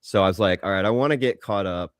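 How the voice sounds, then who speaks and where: A man with a lowish voice, recorded close and dry, banters as a podcast co-host. He is blunt and opinionated, swears freely and voices his reactions as little quotes. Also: fast, dry recording.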